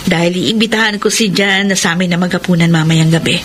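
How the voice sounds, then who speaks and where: A woman's voice: fairly low pitch, fast talker, fairly bright tone, slight background noise, natural voice. An actress playing a coy, scheming, lovestruck young provincial woman in a Filipino radio drama, with a Filipino accent.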